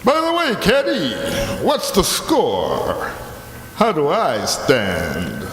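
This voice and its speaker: Pompous boozy braggart played by a man, slow florid drawl with huge pitch swings, radio comedy. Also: wildly swooping pitch, fairly bright tone, exaggerated voice. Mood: breezy. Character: boastful, big-nosed, tippling old-style comic golfer. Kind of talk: old-time radio comedy acting. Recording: echoey hall, noisy background.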